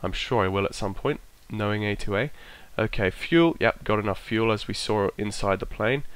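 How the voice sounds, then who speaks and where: Croaky-voiced man muttering through a checklist. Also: fairly low pitch, normal speed, no room sound. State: croaky from a cold. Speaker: flight sim streamer. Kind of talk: casual narrated walkthrough.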